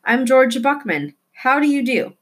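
A woman's voice: high voice, very animated, measured pace, fairly bright tone, breathy edge, voice pushed up, exaggerated voice. A woman voicing an affable, over-polite middle-aged father in a storybook.

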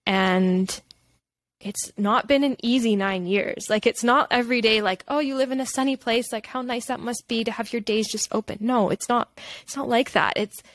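A woman's voice: fairly high pitch, normal speed, no echo. A woman from Canada, talking candidly and reflectively in a casual interview, rambling with lots of filler "like". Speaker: podcast guest, holistic nutritionist.

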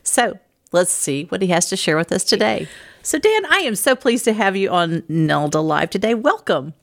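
A woman's voice: medium pitch, very animated, normal speed, vocal fry. A woman from Louisiana and Texas who hosts a show, interviewing a guest over a video call in a chatty way. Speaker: show host.

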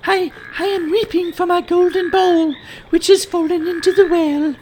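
A man voicing a spoiled young princess in a shrill falsetto, in a fairy-tale reading. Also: fairly even intonation, moderate pace, darkish tone, slight background noise, low-quality recording. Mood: distraught.

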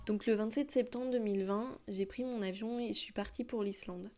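A woman's voice: medium pitch, fairly even intonation, normal speed, loud music behind the voice. A young woman from France hosting her own podcast, talking through her thoughts with many fillers and restarts. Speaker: podcast host. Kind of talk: solo podcast talk.

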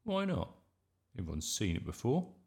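A man's voice: on the low side, wildly swooping pitch, moderate pace, gravelly, a little mellow, pitched above the performer's own voice, heavily acted. Grown guy as a scrappy cheeky schoolboy in a kids story, blunt, stubborn, voice jumps around. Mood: eager, insistent. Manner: blunt, insistent. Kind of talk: children's audiobook character voice.

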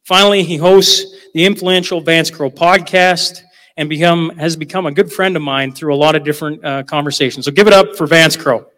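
A man moderating a live panel in a large hall, talking in a loose, chatty way with asides and jokes. His voice sits a bit high for a man, and it carries with a long reverb tail through the room. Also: a little fast, a bit bright. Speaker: event moderator.

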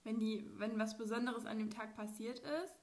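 A woman's voice: fairly high pitch, steady tone, moderate pace, darkish tone. A teenage girl co-hosting a podcast in casual chat, enthusiastic and hesitant, with many fillers. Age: teens. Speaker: podcast co-host.

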